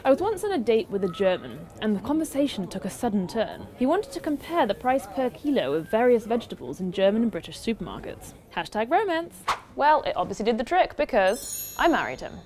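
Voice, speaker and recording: A woman's voice: fairly high pitch, big pitch swings, fairly quick. A woman in her thirties presenting a scripted show in an upbeat, wry way. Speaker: host. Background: music.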